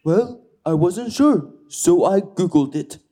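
A man's voice: high voice, expressive, takes it slowly, warm tone, exaggerated voice. A man voicing a foolhardy, self-styled daredevil puppet with naive bravado in a children's puppet show. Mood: naively confident.

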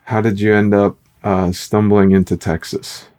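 Male interviewer: low, warm and mellow, conversational, gently hesitant phrasing. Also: flat delivery, normal speed, clean tone. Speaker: podcast host. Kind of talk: casual interview.

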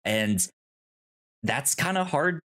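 A man with a medium-pitched voice talks casually as a podcast co-host. He speaks in a halting, searching way, doubling back on words and starting phrases over as he builds his thought. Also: animated, bright, forceful tone. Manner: halting, repeats words and trails into tangents. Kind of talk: casual film discussion.

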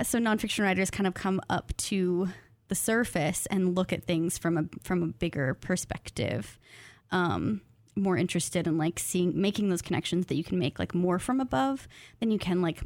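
A woman speaking as a guest writer in a casual interview, thoughtful and self-deprecating, quick to laugh. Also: on the low side, darkish tone, no echo. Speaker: guest writer, graduate student of creative nonfiction.

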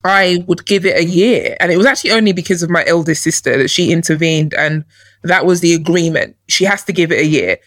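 Woman, lively guest storyteller, dry close mic. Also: on the low side, fairly quick, no room sound. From born in Nigeria, raised in London. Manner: lively storyteller, laughs often. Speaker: guest, chief executive. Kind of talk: casual podcast interview.

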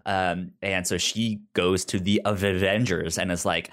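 A man with a lowish voice talks casually as a podcast co-host. He speaks slowly and haltingly, often repeating the first sound of a word before getting it out. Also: level tone, a bit bright. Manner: halting, frequently stammers and repeats word starts. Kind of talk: casual comic book discussion.

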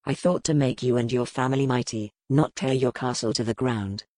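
A woman voicing a grim, powerful male duke, speaking low, measured and brooding in an audiobook. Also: fairly even intonation, a little mellow, no room sound, clean studio sound. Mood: stern. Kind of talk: audiobook character voice.

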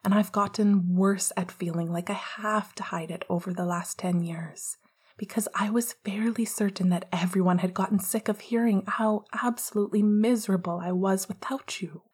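A woman voicing an emotional young man who speaks tenderly through held-back tears in a soft whisper. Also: mid-range voice, steady tone, takes it slowly, husky. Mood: tender, choked up.

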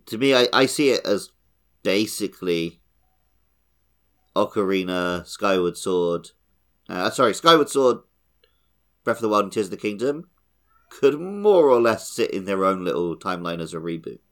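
A man's voice: fairly low pitch, animated, moderate pace, pauses often, fairly bright tone. A man co-hosting a podcast, talking casually through a video game discussion. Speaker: podcast co-host.

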